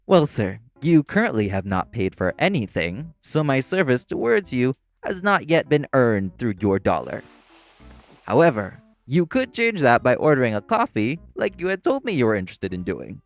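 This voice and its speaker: A man playing a prim, exasperated waiter in a scripted audio roleplay, speaking formally with deadpan, condescending patience. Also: mid-range voice, normal speed, soft background music. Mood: stern, formal. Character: prim, exasperated, sarcastic young waiter boyfriend. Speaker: voice actor.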